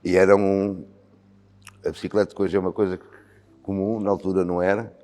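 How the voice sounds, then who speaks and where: A man's voice: deep voice, level tone, fairly quick, warm tone. A man in his sixties with a European Portuguese accent, a volunteer fire commander answering interview questions thoughtfully, with frequent hesitations. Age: 60s. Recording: long hall reverb with faint music.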